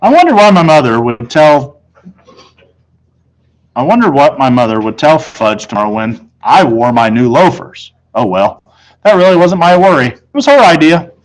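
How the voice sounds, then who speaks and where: A man's voice: fairly high pitch, very animated, normal speed, poor audio. A man reading a children's novel aloud as a teacher would, narrating in the first person.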